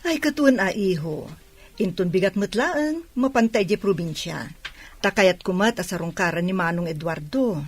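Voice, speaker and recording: A woman's voice: mid-range voice, animated, moderate pace, lightly acted. A woman playing a caring, indulgent mother in an audio drama.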